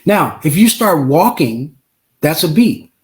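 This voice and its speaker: A man's voice: fairly high pitch, very animated, slow pace. A man, a musician guest on an interview podcast, talking emphatically, repeating himself and checking with "right?". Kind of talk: casual interview chat.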